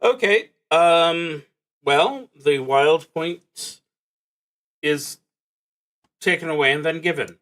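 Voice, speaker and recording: Guy streaming a solo rpg, talks slow, kinda halting, reads stuff out then mulls it over. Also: a little high, fairly bright tone. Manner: halting, musing. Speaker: solo tabletop roleplaying streamer. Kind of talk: livestreamed solo game play, reading prompts aloud and thinking out loud.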